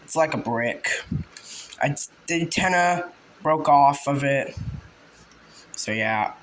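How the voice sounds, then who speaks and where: Clear noise and small clicks sit around the voice in this homemade recording. The speaker is a man with a fairly high voice. He presents in an informal hobbyist show-and-tell style, halting as he goes and letting phrases trail away. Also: expressive, a bit bright. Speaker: hobbyist video creator. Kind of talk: casual show-and-tell narration. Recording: noisy home video with clicks.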